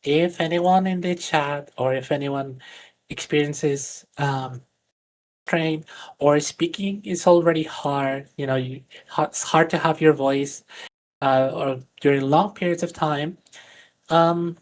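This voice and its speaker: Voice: mid-range voice, slow pace, a little hiss or hum. A voice coach whose first language is Spanish, earnestly explaining voice training on a live stream with plenty of fillers and restarts.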